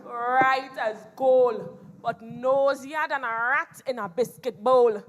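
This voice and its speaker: High-voiced Trinidadian woman, slow dramatic storyteller. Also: soft background music. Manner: theatrical, emphatic with big swings in volume. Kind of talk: dramatised rhyming storytelling performance.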